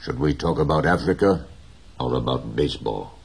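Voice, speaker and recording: A man voicing a poor but proud, gentle old fisherman who speaks plainly and slowly. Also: extremely low pitch, expressive, creaky, slightly rough, heavily acted. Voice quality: slow, plain, dignified speech.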